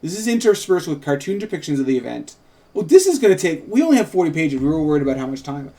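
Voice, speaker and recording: A man with very animated, up-and-down intonation co-hosts a table read. He narrates scene directions and slips into casual chat about the script. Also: on the high side, fast. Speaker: co-host and script co-writer.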